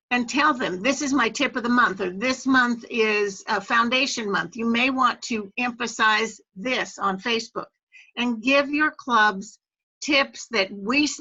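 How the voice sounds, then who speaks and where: Bright-voiced woman giving instructions, dull call audio. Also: fairly high pitch. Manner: methodical, step-by-step explaining. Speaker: presenter training club officers. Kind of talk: instructional walkthrough on a web call. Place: online video call.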